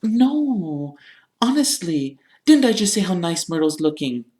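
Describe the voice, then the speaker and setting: A man voicing an anxious young girl with a stammering, placating, falsely bright manner. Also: high voice, sing-song, measured pace, voice pushed up. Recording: roomy, echoing narration recording.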